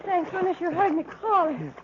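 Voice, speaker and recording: Shrill, helpless damsel in distress. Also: female voice, lively intonation, normal speed. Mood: feigned panic. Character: villainess feigning a helpless drowning victim. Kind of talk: 1950s radio serial acting. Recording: muffled, noisy old 1950s radio recording.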